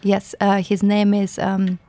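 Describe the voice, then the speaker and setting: Female musician guest, Ukrainian accent, even mid-pitched delivery, casual interview talk, dry studio sound. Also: slow pace, no room sound.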